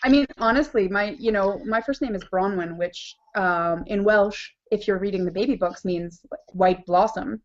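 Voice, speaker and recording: A woman co-hosting a casual chat over a video call, talking fast and teasing with wry asides and giggles. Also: medium pitch. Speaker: podcast co-host. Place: living room with a couch. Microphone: webcam on a video call. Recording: dull lo-fi video call audio.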